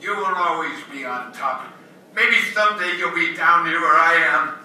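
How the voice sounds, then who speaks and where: A man's voice: fairly high pitch, moderate pace, pushed and bright, a little hiss or hum. A man playing a taunting condemned prisoner in an old-time radio melodrama, with theatrical delivery. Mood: bitterly taunting. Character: taunting, laughing condemned man haunting a judge's memory. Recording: echoey, distant stage recording.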